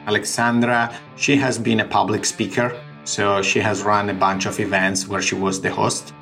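Gravelly Italian-accented man. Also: on the low side, flat delivery, moderate pace, a bit bright, faint music behind. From Italy. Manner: explanatory, works through numbers step by step. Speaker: startup founder. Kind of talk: recorded interview.

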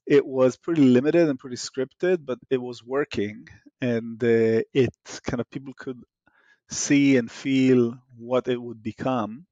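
A man's voice: mid-range voice, a little slow, no room sound. Israeli man with a dull, dry sound. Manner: fluent, restarts often with fillers, animated. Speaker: startup founder guest. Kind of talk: casual interview talk.

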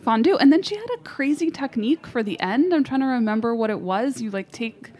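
A woman co-hosting a casual food show, enthusiastic and quick to laugh. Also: fairly high pitch, animated, darkish tone. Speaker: podcast co-host. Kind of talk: casual chat.

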